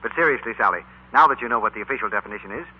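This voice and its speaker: A man's voice: medium pitch, animated, very fast, breathy edge, lo-fi sound. A man announcing a 1940s radio show in a polished, scripted, lightly teasing manner. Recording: band-limited 1940s radio recording.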